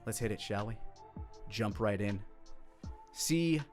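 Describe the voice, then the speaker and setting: A man's voice: fairly low pitch, lively intonation, slow pace, soft background music. A man giving casual, enthusiastic creator commentary on his own parody series, swearing casually.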